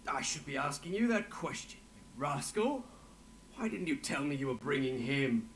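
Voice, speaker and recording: An actor playing a jovial, generous innkeeper in a video game, with a theatrical, effusive delivery. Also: male voice, medium pitch, very animated, normal speed, slight background noise, lightly acted. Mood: surprised, delighted.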